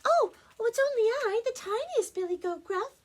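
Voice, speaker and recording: A woman voicing a tiny, timid young billy goat in a storybook read-aloud, pleading in a very small, high voice. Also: lively intonation, normal speed.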